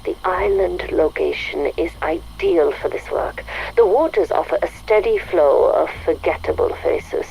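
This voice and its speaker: A coldly ambitious woman scientist dictating her log in a low register thick with vocal fry. She is measured and self-satisfied, with open contempt for anyone in her way. A faint layer of noise sits over the game recording. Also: a little mellow, low-quality recording. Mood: cold satisfaction. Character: arrogant, coldly ambitious woman scientist running human experiments. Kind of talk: scripted audio log monologue.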